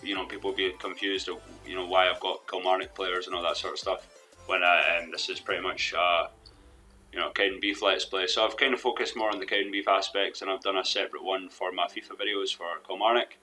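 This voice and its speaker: Low bright-toned young man, a bit hoarse. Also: level tone, a little fast. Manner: rambling, hesitant, with restarts. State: losing his voice. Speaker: gaming commentator. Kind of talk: let's play commentary. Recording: faint background music.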